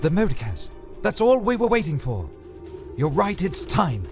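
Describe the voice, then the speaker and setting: A man plays a stern half-elven commander of dragonriders in a fantasy audio tale. The voice is pitched high and swings widely as it barks disciplined orders, with music underneath. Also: huge pitch swings, moderate pace. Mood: commanding. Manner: commanding, raised over the wind. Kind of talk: fantasy audio drama character. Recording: music behind the voice.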